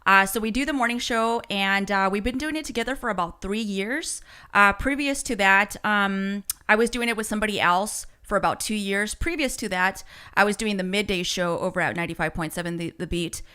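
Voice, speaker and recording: Bright, smooth-voiced woman radio host. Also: medium pitch, fairly quick. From United States. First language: Spanish. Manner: chatty, animated storyteller with fillers. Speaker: radio morning show host, podcast guest. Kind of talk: casual interview chat.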